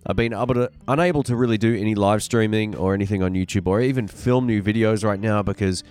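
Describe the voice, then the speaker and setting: A man's voice: fairly low pitch, fast, a little mellow. A man hosting his own podcast while getting over a cold. His nose sounds blocked, and he talks in a rambling, chatty way with vowels. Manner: rambling, chatty, with fillers and restarts. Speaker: podcast host. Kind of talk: casual solo podcast talk.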